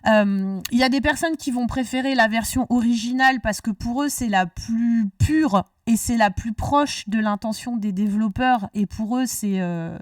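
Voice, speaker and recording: Woman host, talkative, restarts sentences, higher voice, dry sound, a little off-mic. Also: normal speed, hardly any pauses, no room sound, far from the mic. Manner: talkative, rambling with many restarts. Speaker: podcast host. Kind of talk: casual discussion.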